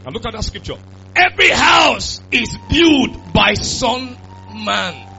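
A man, preaching a sermon with loud bursts of emphasis. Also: extremely high pitch, big pitch swings, takes it slowly, creaky. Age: 20s. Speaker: pastor. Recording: dull lo-fi sound with music.

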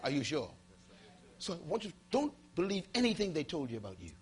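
A man preaching a motivational sermon to a live audience, firing rhetorical questions, repeating key lines and swinging from loud bursts to hushed asides. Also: on the high side, wildly swooping pitch, a little fast. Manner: dramatic swings between loud and hushed, call-and-response questions; emphatic, repeating key phrases.

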